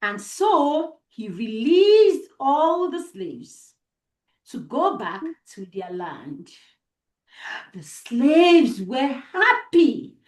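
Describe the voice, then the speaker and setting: A woman with a Nigerian accent telling a story live to children, deliberate and expressive, with dramatic pauses and repetition, as host. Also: sits high. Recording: roomy, echoey sound.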